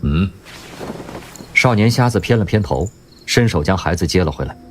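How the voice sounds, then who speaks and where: A man narrating an audio drama in an expressive storytelling manner. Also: low voice, fairly quick, slight background noise.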